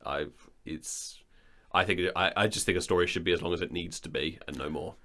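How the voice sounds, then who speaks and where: Deep-pitched British male, casual podcast chat, frank and unpolished delivery. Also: brisk, sharp tone. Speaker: audio drama producer, writer and editor. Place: indoor studio.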